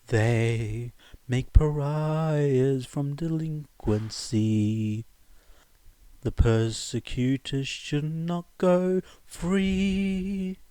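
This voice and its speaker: Man performing a grandiose young revolutionary, raised pitch. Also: warm tone, clear, resonant tone, no room sound. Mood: defiant singing. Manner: grandiose, declamatory, drawn-out delivery. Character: defiant young male revolutionary leader, singing his speeches theatrically. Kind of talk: dramatic fan fiction audiobook performance.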